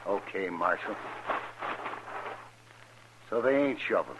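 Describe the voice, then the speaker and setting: An actor playing a nervous, evasive gunrunner with a folksy, ungrammatical American way of talking in a 1950s radio western. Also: male voice, low-pitched, animated, takes it slowly, fairly bright tone, exaggerated voice. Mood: evasive, whining. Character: nervous, shifty frontier gunrunner, whining and evasive. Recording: dull, noisy old radio recording.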